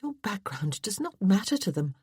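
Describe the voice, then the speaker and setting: A woman's voice: medium pitch, very animated, normal speed, darkish tone, husky, dry recording, studio quality, voice pushed up, heavily acted. A woman voicing an earnest, polite wife in a period romance audiobook, with a pleading manner. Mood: reassuring.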